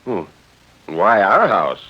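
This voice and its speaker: Mild, uneasy husband, low-voiced man acting. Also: very animated, takes it slowly, breathy edge, full character voice. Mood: uneasy, questioning. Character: mild, decent suburban husband, uneasy and questioning. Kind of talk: 1940s radio drama acting. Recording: dull vintage 1940s radio recording.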